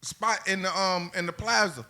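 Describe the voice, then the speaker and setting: Bright, high-pitched man ranting on a podcast. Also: fairly even intonation, normal speed. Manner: profane, ranting, opinionated. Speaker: podcast co-host. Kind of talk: casual comedic roasting banter.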